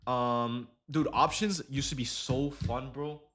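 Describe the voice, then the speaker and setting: A man's voice: medium pitch, fairly even intonation, slow pace, a bit bright. Young man, trading livestream host, casual slangy chat, lots of fillers. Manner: loose slangy talk, many fillers and restarts. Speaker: day trading streamer.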